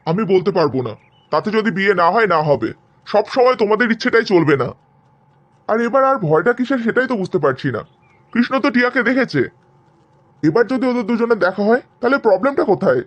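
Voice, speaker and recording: A man's voice: high-pitched, very animated, fast, highs cut off. A man playing a sarcastic, exasperated middle-aged husband and father in a cartoon drama, grumbling and arguing. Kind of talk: Bengali cartoon family drama acting.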